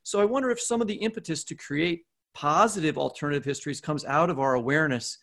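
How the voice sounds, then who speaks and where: A man's voice: on the high side, quick pace, vocal fry, a bit bright. A man co-hosting a podcast, asking a long, opinionated interview question and qualifying his points as he goes. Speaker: podcast co-host.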